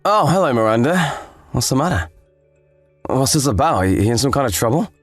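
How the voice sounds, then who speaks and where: A man's voice: medium pitch, very animated, fairly quick, no echo, faint music behind. A man playing a concerned, apologetic brother-in-law in a dramatized story, sympathetic and exclamatory.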